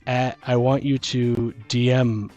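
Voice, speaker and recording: A man with an average-pitched voice talks casually as a gaming podcast co-host. He rambles and pauses mid-thought, restarting as he works ideas out aloud, with music faintly behind him. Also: little pitch movement, darkish tone, highs cut off. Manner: rambling, halting, thinking aloud. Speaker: podcast co-host and game master. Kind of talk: casual chat about tabletop gaming. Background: background music.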